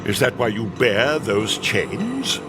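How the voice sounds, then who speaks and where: An actor playing a skeptical, fearful old miser in a theatrical radio play, fussy and prone to stammering. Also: male voice, medium pitch, huge pitch swings, slow pace. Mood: anxious pleading. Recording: music under the voice.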